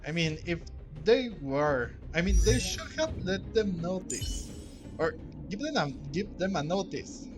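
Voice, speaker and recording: A man's voice: sits high, big pitch swings, measured pace. A man giving casual, rambling gameplay commentary, thinking aloud as he plays. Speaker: gamer recording a playthrough. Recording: game music behind the voice. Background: video game music.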